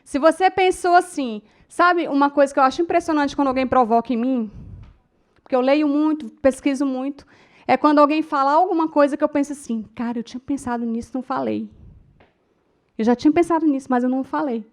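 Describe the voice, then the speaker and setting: Brief: Brazilian woman, middle-aged, high voice, public speaking teacher, emotive storyteller who talks straight to the room. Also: brisk. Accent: Brazilian Portuguese. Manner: emotive storytelling, addresses the audience as "gente". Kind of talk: lecture to a class.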